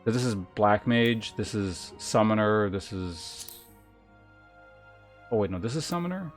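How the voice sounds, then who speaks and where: A man's voice: lowish voice, lively intonation, measured pace. A man livestreaming a game, chatting informally as he thinks aloud and reads game text. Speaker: game streamer. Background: game music.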